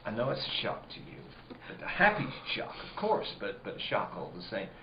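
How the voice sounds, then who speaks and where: A man acts out a blunt, folksy country man in a spooky drama. He needles and insinuates with halting, animated delivery. The recording is muffled and roomy, with faint noise behind. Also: fairly low pitch. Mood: coaxing, uneasy. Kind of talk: spooky rural radio drama acting.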